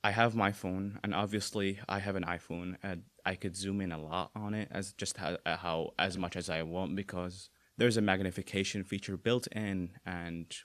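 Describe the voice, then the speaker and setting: A young man with an Arabic accent talks easily in a very low voice, the sound dry and close. He circles back and restarts often, filling pauses with small words as he goes. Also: dry recording. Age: young adult. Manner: relaxed, many fillers and restarts. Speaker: guest. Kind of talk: casual interview talk.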